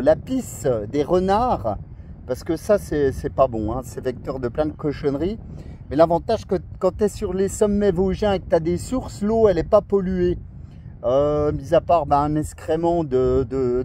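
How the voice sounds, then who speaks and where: A man's voice: a little high, mellow, slight background noise. A man chatting casually and enthusiastically outdoors as an amateur radio operator, in a friendly way, sometimes searching for his words. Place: outdoors at a scenic coastal spot.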